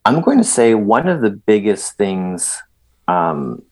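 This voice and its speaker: Salvadoran-born guy, middle aged, kinda husky low voice, animated, lots of restarts. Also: slow pace. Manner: animated, many restarts, emphatic asides. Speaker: guest. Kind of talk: conversational interview.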